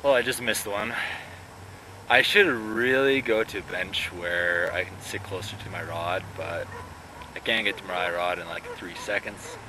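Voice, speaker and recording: A man's voice: low voice, unhurried, bright, forceful tone, rough recording. A young man vlogging outdoors with a camera held at arm's length, talking in a chatty, rambling way. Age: young adult. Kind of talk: casual fishing vlog. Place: outdoors by a pond. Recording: faint outdoor background noise.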